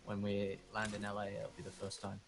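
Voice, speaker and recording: Low-voiced man, casual. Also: fairly quick, vocal fry, heavy background noise. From England. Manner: casual and relaxed, with fillers like "you know". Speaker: map-building team member. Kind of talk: interview soundbites. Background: music.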